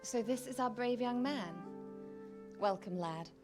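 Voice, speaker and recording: A woman's voice: a little high, unhurried, music in the background, lightly acted. A woman acting a gracious, kindly noble lady of a castle in a video game cutscene.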